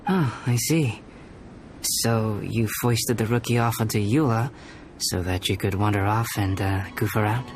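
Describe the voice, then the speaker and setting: An actor playing a calm, cerebral young male alchemist in a video game, speaking formally, precisely and with composure. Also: fairly low pitch, a little slow, a little hiss or hum. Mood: wry, amused. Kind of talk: video game character acting. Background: background music.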